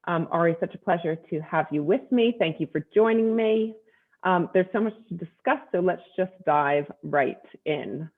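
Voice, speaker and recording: A woman's voice: on the low side, moderate pace. A woman from the United States hosting a podcast interview, asking chatty questions with many fillers. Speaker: podcast host. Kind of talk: conversational interview. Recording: tinny phone-like line.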